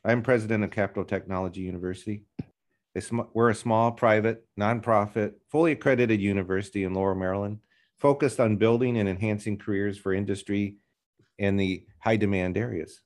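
A man's voice: fairly low pitch, steady tone, a little fast. A middle-aged man hosting a podcast interview, talking in a friendly, conversational way. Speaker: podcast host, university president.

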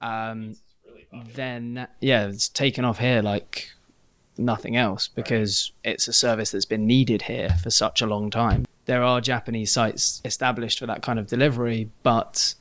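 A man's voice: medium pitch, flat delivery, measured pace, fairly bright tone, no echo. A British man co-hosting a podcast, explaining things thoughtfully with careful diction. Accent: British English. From Britain. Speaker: podcast co-host. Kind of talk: casual podcast chat.

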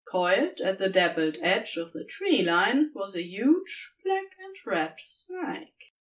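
A woman narrating a scripted story as a reader, in a measured, expressive storytelling manner. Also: mid-range voice, distant mic. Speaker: podfic reader.

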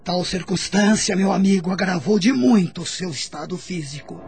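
An actor playing a grave, tender spirit doctor in a radio drama, admonishing solemnly in formal, measured Brazilian Portuguese. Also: male voice, high-pitched, vocal fry, music in the background. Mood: grave reproach.

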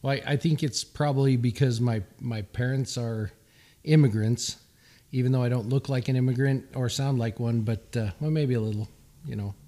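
A man whose first language was Swedish, chatting as a podcast guest and often pausing and restarting his sentences. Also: medium pitch, fairly even intonation, mellow. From Utah. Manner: hesitant, many restarts and fillers. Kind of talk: casual podcast chat.

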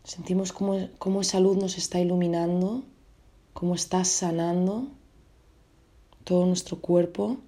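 A woman with a lowish, very mellow and relaxed voice leads a guided meditation. She gives her instructions gently, in short phrases, leaving space between them. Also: unhurried, pauses often.

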